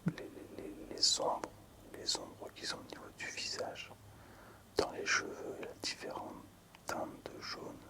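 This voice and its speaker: A man whispering softly and hesitantly in an unhurried ASMR style, as a video creator showing something to viewers. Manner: soft, unhurried, hesitant with pauses.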